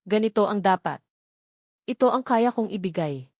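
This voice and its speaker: A woman's voice: medium pitch, animated, moderate pace, a little mellow, no echo, studio quality. A woman voicing a proud rich father in an audiobook, earnest and eager to please his children. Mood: self-satisfied.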